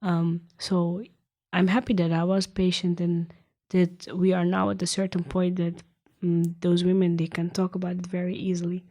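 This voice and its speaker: A woman's voice: lowish voice, level tone, moderate pace, dark tone. A woman from the Netherlands, a youth activist giving interview answers. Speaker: youth activist, podcast co-host.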